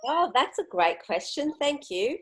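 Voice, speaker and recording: A woman's voice: high voice, very animated, measured pace, a bit bright, soft background music. A woman from Greece with an Australian accent, a friendly and outgoing interview guest. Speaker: guest, solo traveler. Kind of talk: casual interview chat.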